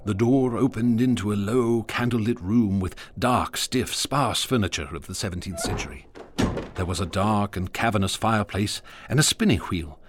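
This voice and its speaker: A man narrating a radio drama in a measured, ominous storytelling manner. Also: on the low side, background noise.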